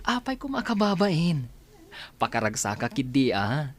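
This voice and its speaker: A man's voice: medium pitch, huge pitch swings, a little slow. An actor playing a cheerful young man in an Ilocano radio drama. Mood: teasing, elated.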